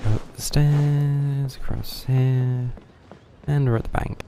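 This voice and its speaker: A man's voice: mid-range voice, fairly even intonation, slow pace, background noise. A man doing casual livestream game commentary, muttering to himself in a wry, understated way. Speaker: game streamer. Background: faint game music.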